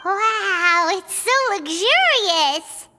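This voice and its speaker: An actress voicing a small, excitable, childlike creature companion in a game, squeaky, cheeky and exclamatory. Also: female voice, drawn-out words, full character voice. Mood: awed. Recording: reverberant, music underneath.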